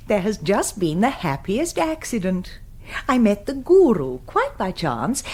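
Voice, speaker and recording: Imperious society hostess for radio comedy: very animated pitch, sugary, pretentious, upper-class English accent. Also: female voice. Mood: sweetly smug. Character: imperious, affected English society lady, sweetly scheming. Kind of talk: radio comedy drama acting.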